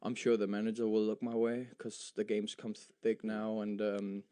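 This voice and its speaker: A young Danish footballer with Danish as his first language, answering interview questions in a halting way, with many fillers and repeated words. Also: fairly low pitch, completely flat delivery, mellow, clean tone. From Denmark. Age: young adult. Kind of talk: post-match style interview.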